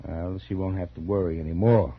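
An American actor playing a genial, plainspoken homicide lieutenant in a 1940s radio drama, chummy and slangy. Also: male voice, low-pitched, steady tone, fairly quick, lightly acted. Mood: matter-of-fact. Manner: breezy, chummy, slangy tough-cop talk. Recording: dull, faintly noisy 1940s radio recording.

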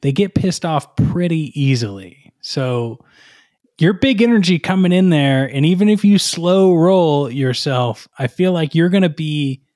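A man's voice: fairly high pitch, lively intonation, unhurried, darkish tone, no echo, studio quality. A man hosting a podcast, interviewing a comedy character in a playful, wry way. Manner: playful, wry, goes along with the bit. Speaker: podcast host.

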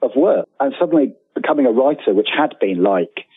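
British male guest, forties, husky, conversational, on a dry telephone-quality link. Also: mid-range voice, lively intonation, a little fast, dry recording. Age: 40s. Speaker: guest author. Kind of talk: casual interview conversation. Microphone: remote call. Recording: thin phone-like call, dry.

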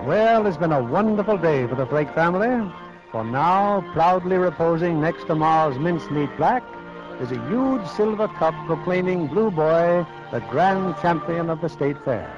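A man's voice: fairly high pitch, expressive, normal speed, music in the background. A man hosting and narrating a 1940s radio theatre play from a script. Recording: muffled 1940s radio broadcast.

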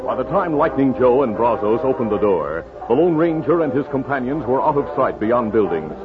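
A man's voice: mid-range voice, very animated, brisk. An American man reading dramatic scripted narration for a 1950s radio western. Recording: muffled 1950s radio, music behind.

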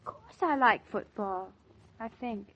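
Young american newlywed wife, girlish and chatty, highish voice, muffled old 40s radio sound. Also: measured pace, a bit bright. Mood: playful, insistent. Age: young adult. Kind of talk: 1940s radio drama acting. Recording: muffled 1940s radio recording.

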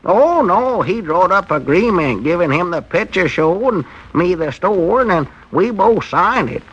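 A fretful, good-hearted Southern storekeeper talks in a highish, sharp voice. He is simple and folksy, in the style of 1940s radio comedy. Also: animated, unhurried, husky, dull audio, exaggerated voice. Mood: concerned, explaining. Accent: rural American South. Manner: folksy, plainspoken. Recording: noisy old 1940s radio recording.

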